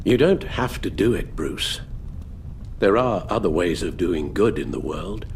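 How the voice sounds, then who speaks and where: An actor playing a weary, sorrowful elderly English butler in a video game, with a British accent and a formal, measured, emotive delivery. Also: male voice, sits low, expressive, slight background noise. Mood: sad, resigned. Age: older. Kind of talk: dramatic video game voice acting.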